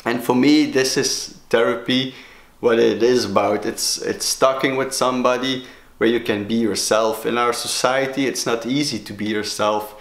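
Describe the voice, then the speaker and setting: A man from Belgium, a native Dutch speaker with a Belgian Dutch accent, talking to camera as a sobriety coach, halting with many fillers and restarts. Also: medium pitch, fairly even intonation, echoey room. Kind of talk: solo talking-head vlog.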